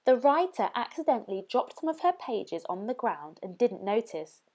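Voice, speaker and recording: Woman, high voice, very varied intonation, expressive children's storytime narration. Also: normal speed. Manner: animated, dramatic emphasis.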